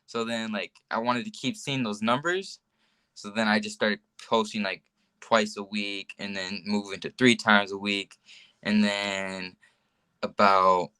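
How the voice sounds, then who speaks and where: Teen boy chatting casually, lowish bright voice, rambly, says like a ton, dry sound. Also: fairly even intonation, measured pace, clean tone, no room sound. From United States. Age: 14, teenage boy. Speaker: guest, young social media influencer. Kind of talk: casual chat, telling personal stories.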